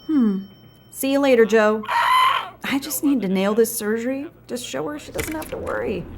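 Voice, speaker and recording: A woman voicing a determined, proud female cat doctor with a husky voice in an audio drama. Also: on the high side, moderate pace, darkish tone. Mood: defiant.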